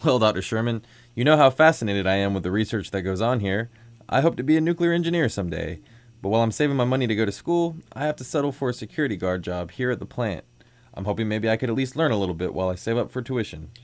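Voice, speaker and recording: A man playing an eager, quick-talking young guard. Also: on the low side, lively intonation, natural voice. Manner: earnest, polite. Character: eager, polite young male security guard, science-keen. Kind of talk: old-time radio drama acting.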